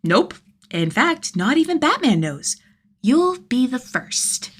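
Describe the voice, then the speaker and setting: A woman voicing a cocky, sardonic young male vigilante with a gruff fondness, in an audio story. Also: high voice, very animated, slow, voice pushed up, heavily acted. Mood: reassuring. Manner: teasing, sardonic, sweary.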